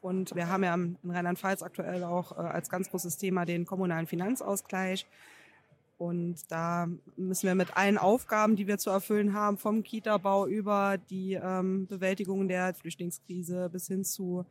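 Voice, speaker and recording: A woman's voice: lowish voice, level tone, moderate pace. A woman in her thirties, a small-town mayor, answering interview questions matter-of-factly with some fillers. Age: 30s.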